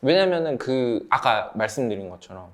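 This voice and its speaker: A man's voice: medium pitch, very animated, normal speed. A man in his thirties from South Korea telling personal stories in a casual group chat. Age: 30s. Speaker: channel member sharing study-abroad experience.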